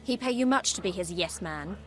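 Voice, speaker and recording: A woman's voice: fairly low pitch, animated, fairly quick, a bit bright, slight background noise. A young woman playing a devout, bitter, defiant daughter in a video game, with a firm, cutting delivery. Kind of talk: video game cutscene acting.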